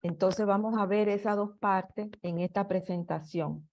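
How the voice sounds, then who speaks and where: Slow, halting woman giving a lecture. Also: medium pitch, fairly even intonation, a little mellow. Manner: slow and halting, with restarts and fillers. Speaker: presenter. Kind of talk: slide presentation lecture.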